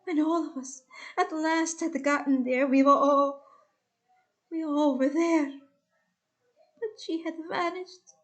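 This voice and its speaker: Woman voicing an anguished, high-voiced Trojan warrior. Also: steady tone, takes it slowly, long pauses. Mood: grieving, frantic. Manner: dramatic, emotional, urgent. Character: anguished Trojan warrior fleeing a burning city, recounting loss.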